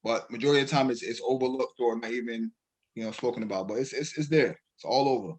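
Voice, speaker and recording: A man's voice: medium pitch, fast, rough recording. Bajan-accented Brooklyn man, hesitant. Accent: Barbadian (Bajan). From Brooklyn, New York. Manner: hesitant, many restarts and fillers. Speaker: poet, interview guest. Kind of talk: casual radio interview.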